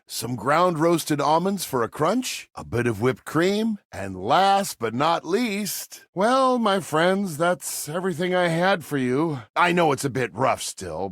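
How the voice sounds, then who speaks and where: A man voicing a folksy, eager-to-please ice cream server in a video game, with homespun, expressive delivery. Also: fairly high pitch, no echo, clean studio sound, full character voice. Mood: earnest. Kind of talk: scripted video game character dialogue.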